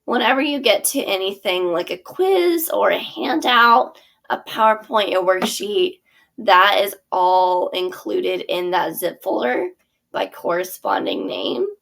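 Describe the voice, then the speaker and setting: Woman with a gravelly voice walking through a curriculum. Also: medium pitch, animated, measured pace, fairly bright tone. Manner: friendly instructional, with frequent ums and self-corrections. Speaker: theater teacher presenting her curriculum. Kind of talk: screen-share product walkthrough.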